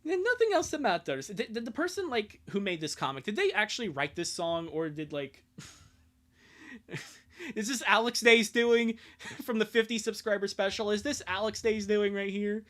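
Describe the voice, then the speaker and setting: Bright, higher-voiced man streaming sarcastically. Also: lively intonation, moderate pace. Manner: sarcastic, exasperated, sweary. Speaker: streamer and host of a live reading. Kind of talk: casual live-stream commentary and dramatic comic reading.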